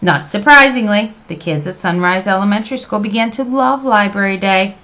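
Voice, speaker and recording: A woman reading a children's storybook aloud as expressive narration, with dramatic, emphatic phrasing. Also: mid-range voice, a little fast, highs cut off.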